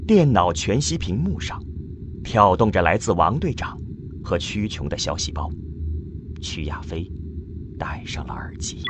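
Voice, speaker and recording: A man giving solemn, expressive scripted narration for a science fiction audio drama. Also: low voice, measured pace, long pauses, background noise. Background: music.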